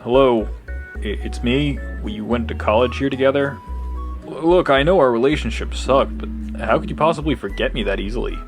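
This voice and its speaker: A man's voice: mid-range voice, moderate pace, music in the background, lightly acted. A man playing a bitter, irritable young worker in an audio drama, curt and sarcastic. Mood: indignant. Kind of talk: conversational audio drama acting.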